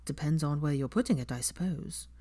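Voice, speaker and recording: A woman's voice: sits low, a little fast, dark tone, no room sound. A woman voicing a smirking, dryly polite young man in a story reading. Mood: teasing.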